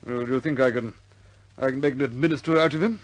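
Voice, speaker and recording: An actor playing a concerned senior air force commander in a radio war drama, reserved and halting but quietly authoritative. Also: male voice, medium pitch, fairly even intonation, close to an everyday voice. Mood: thoughtful. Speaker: commanding officer.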